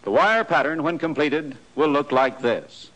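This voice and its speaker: Male, American, slightly high voice; formal, matter-of-fact instructional narration in 1940s training-film style. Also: a little slow, fairly bright tone, rough recording.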